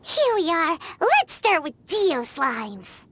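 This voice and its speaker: Tiny squeaky kiddie fairy sidekick, super high, perky and bouncy, anime game. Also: female voice, wildly swooping pitch, takes it slowly, fairly bright tone, a little hiss or hum, exaggerated voice. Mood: cheery. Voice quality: squeaky, childlike. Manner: perky, bubbly commentary. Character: tiny chirpy childlike female fairy companion. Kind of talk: anime-style video game voice acting. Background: game music.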